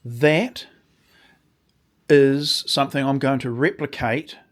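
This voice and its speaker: This is a man with a slightly croaky voice, walking through a workshop build in a relaxed, unhurried way. He speaks at a slow pace, wanders mid-sentence and restarts his thoughts. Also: medium pitch. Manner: rambling, restarts sentences while explaining. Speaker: woodworking channel host. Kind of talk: casual workshop demonstration.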